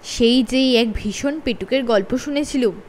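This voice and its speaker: Lady reading a kids' story, high voice, bouncy playful delivery. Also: measured pace, slight background noise. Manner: expressive, sing-song storytelling with playful emphasis. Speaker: storyteller and channel host. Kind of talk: reading a children's story aloud.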